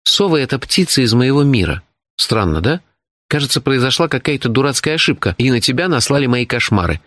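A man voicing an excitable, wry, easily baffled male hero in an audiobook, with lively colloquial phrasing. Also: medium pitch, a little fast, no room sound, studio quality, voice pushed up. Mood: wry, casual. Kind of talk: audiobook character voice.

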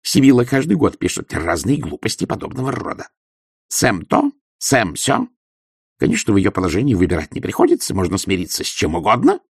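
Man voicing an imperious old baroness, husky, dry sound, sharp and scornful. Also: on the low side, huge pitch swings, fairly quick, dry recording, pitched above the performer's own voice, heavily acted. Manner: haughty, scolding, sharp. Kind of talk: audiobook character voice.

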